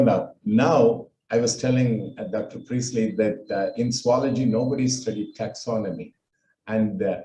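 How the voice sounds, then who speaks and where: An older man from India with a Tamil accent, giving a halting personal talk with many fillers. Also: on the low side, warm tone, reverberant, slight background noise, low-quality recording. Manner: halting, with frequent fillers and restarts. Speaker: speaker recounting his life.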